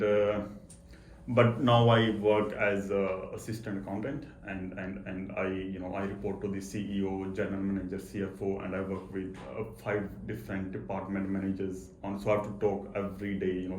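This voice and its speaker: A man with a low voice and a stutter answers interview questions. He repeats words and halts as he explains himself earnestly. The room is echoey, with faint noise behind him. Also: completely flat delivery, normal speed. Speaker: interview guest, accountant. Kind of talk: personal interview talk.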